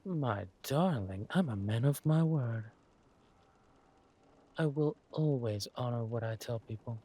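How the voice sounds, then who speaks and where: A man playing a suave, ruthless villain lord in an audio drama, mock-polite and menacing, with a husky voice and drawn-out delivery. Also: mid-range voice, expressive, mellow. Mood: coolly ruthless.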